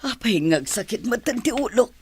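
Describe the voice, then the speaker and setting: A woman's voice: on the high side, animated, normal speed, a little hiss or hum, close to an everyday voice. A woman playing a weary, ailing teacher and loving mother in a melodramatic audio drama. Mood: weary, dazed.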